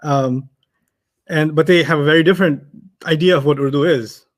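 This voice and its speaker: Arabic teacher, man, native German speaker, relaxed storyteller, voice a bit high. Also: moderate pace. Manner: chatty, storytelling, laughs often. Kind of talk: casual teaching chat with anecdotes.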